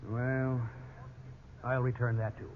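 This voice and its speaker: A man's voice: mid-range voice, little pitch movement, slow, close to an everyday voice. A man with an American accent playing a defensive, conciliatory real estate agent in a 1950s radio drama, formal and self-justifying. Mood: resigned, conciliatory. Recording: tinny, noisy 1950s radio recording.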